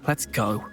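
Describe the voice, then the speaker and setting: An actress playing a sneering, greedy teenage school bully in a video game, with a mocking drawl. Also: female voice, extremely low pitch, heavily acted. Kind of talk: video game cutscene acting.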